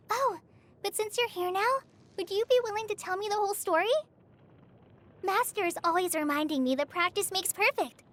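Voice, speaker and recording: A woman's voice: very high voice, a bit bright, exaggerated voice. An actress playing a shy, earnest teenage girl forest ranger trainee in a video game, hesitant and easily flustered. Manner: hesitant, stammering, apologetic. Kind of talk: video game character voice lines. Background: background music.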